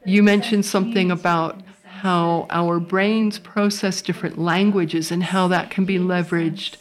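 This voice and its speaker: A woman's voice: lowish voice, fairly even intonation, measured pace. Californian woman hosting a panel. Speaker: host. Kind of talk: panel discussion.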